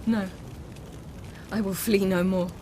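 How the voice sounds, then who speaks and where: An actress voicing an intense, defiant young woman hero in a video game, halting and anguished. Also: medium pitch, steady tone, darkish tone, slight background noise, close to an everyday voice. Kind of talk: dramatic video game voice acting.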